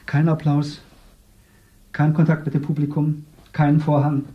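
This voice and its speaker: An actor in a 1970s radio drama, playing a self-important politician who is also an actor. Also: male voice, on the high side, measured pace, highs cut off, lightly acted. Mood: irritable. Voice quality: defensive and self-important, with lively swings. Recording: echoey room, voice at a distance.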